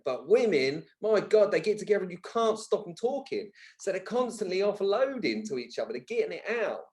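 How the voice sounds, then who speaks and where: Higher-pitched Englishman talking bluntly. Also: sing-song, a little fast, a little mellow. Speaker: podcast guest. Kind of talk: casual conversational interview talk.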